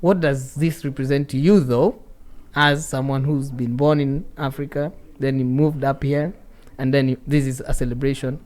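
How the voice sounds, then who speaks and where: A man's voice: medium pitch, fairly even intonation, moderate pace, few pauses, darkish tone. A man with a Kenyan accent hosting a casual radio interview in a chatty way, mixing in Swahili phrases. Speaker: radio show host.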